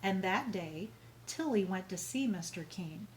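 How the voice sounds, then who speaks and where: A woman's voice: mid-range voice, lively intonation, measured pace, darkish tone, a little hiss or hum, low-quality recording. A woman reading a children's story aloud, expressively and with emphatic, dramatic phrasing. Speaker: storybook reader.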